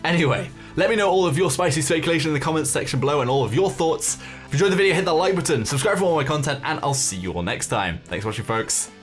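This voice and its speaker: A man's voice: fairly high pitch, expressive, quick pace. A man hosting a gaming video, giving casual, speculative news commentary in an informal, tentative way, as if thinking aloud. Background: music behind the voice.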